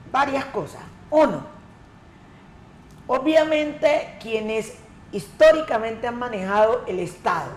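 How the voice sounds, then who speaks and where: A woman from the Colombian Pacific coast, an education coordinator answering interview questions in a measured, emphatic way. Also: fairly high pitch, slight background noise. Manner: measured, emphatic, repeats key words. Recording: echoey room, faint ambience.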